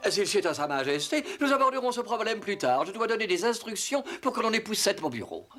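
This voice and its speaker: An actor voicing a pompous, obsequious royal steward elephant in a French cartoon, with flowery, flustered courtly speech. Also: male voice, high-pitched, sing-song, a little fast, bright, forceful tone, exaggerated voice. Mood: evasive, pompous.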